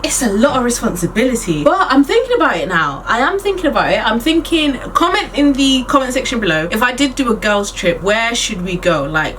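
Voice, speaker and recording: A woman's voice: mid-range voice, a bit bright, far from the mic, a little hiss or hum, rough recording. A woman in her late twenties chatting casually and playfully to her viewers in a Q&A vlog. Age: 20s. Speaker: beauty and lifestyle vlogger.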